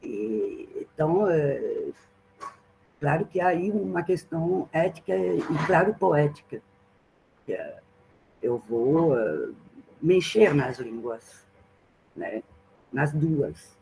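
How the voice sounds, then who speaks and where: A woman's voice: low voice, lively intonation, takes it slowly, gravelly, pauses often, mellow, a little hiss or hum, poor audio. A woman from France with a French accent in Portuguese, giving a reflective academic talk as a guest speaker and thinking aloud with many fillers and tag questions. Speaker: guest speaker, translation professor. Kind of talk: academic talk in an online seminar.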